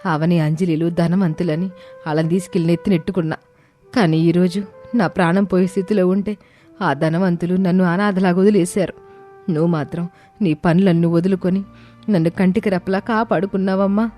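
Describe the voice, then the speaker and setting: A woman's voice: fairly low pitch, fairly even intonation, fairly quick, warm tone, lightly acted. A woman voicing an emotional, remorseful older mother-in-law in a dramatized moral story. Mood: tearful remorse. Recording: music bed under the voice.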